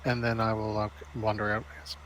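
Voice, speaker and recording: A man's voice: on the low side, completely flat delivery, fast talker, a bit bright, rough recording. A man playing in an online roleplaying game, chatting casually with the group. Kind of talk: casual chat. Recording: clear background noise.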